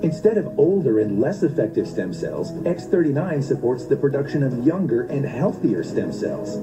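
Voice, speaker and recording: A man narrating a scripted commercial in a smooth, persuasive sales delivery. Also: mid-range voice, poor audio. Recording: voice-over with clear music bed.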